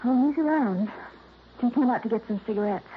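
An actress plays a bitter young woman in her twenties, fairly high-pitched and sharp-tongued. Her voice comes through thin and tinny, like an old radio broadcast with a faint hiss behind it. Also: fairly quick, close to an everyday voice. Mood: resentful. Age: 20s. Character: bitter young woman, niece cut from will. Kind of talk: 1950s radio drama acting.

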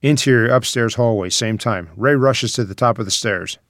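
A man's voice: mid-range voice, normal speed, a bit bright, no room sound, clean studio sound. A man reading out screenplay scene headings and stage directions as a scripted narrator.

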